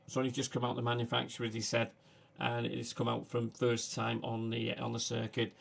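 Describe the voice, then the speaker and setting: A man with a Manchester accent hosting a casual livestream, talking haltingly with many fillers. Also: mid-range voice, monotone, gravelly. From England. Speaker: livestream host.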